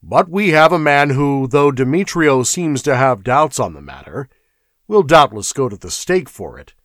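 A man's voice: medium pitch, very animated, moderate pace, fairly bright tone, no echo, clean studio sound, pitched above the performer's own voice. A man doing a growling, curt city prefect. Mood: stern. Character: gruff, short-tempered male city prefect.

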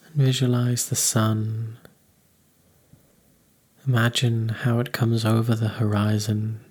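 A man leading a guided meditation in a calm, unhurried, soothing manner. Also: fairly low pitch, breathy edge.

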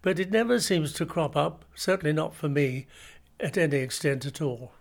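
A man's voice: a little high, normal speed, gravelly. A man, an actor, talking reflectively in an interview, considered and articulate.

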